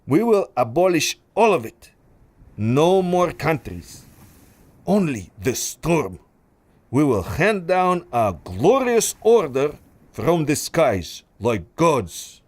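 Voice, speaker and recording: A man's voice: fairly high pitch, big pitch swings, darkish tone, no room sound, heavily acted. A man playing a silky villain scientist. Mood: grandiose zeal. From Russia. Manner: smooth, silky, menacing, slow and deliberate. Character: suave treacherous male scientist villain, exiled aristocrat. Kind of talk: pulp adventure audio drama.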